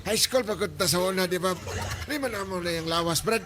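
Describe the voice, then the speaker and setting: A man playing a gossipy, teasing young buddy in a live comedic audio drama, excitable and repeating his words. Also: sits high, brisk, heavy background noise, natural voice. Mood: apologetic. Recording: loud music under the voice.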